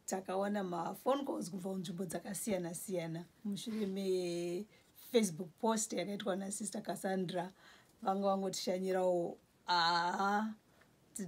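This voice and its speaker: A woman in her forties with a Zimbabwean accent, a store owner talking casually about her grocery shop. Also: medium pitch. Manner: lists goods slowly while showing shelves. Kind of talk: casual interview in Shona. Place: small indoor grocery store.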